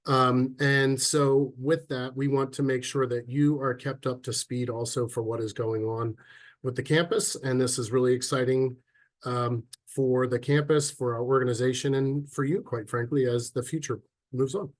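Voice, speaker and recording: A man with a head cold and a slightly stuffy voice, giving a relaxed, chatty online presentation with frequent ums and restarts over a computer mic. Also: mid-range voice, moderate pace. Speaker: sales and marketing officer presenting a webinar. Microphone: computer mic on a video call.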